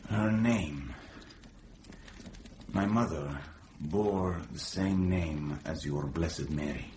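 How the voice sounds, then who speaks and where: An actor playing a solemn, courteous young man in a radio drama, soft-spoken and grave. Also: extremely low pitch, drawn-out words, slight background noise, exaggerated voice. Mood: solemn, tender.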